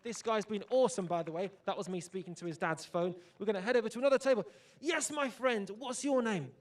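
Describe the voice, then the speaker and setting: Hyped fast-talking young male presenter. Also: high voice. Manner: upbeat, hyped, jokey with guests. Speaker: roving fan zone presenter. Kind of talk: energetic outdoor broadcast chat. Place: outdoor fan zone in a city square.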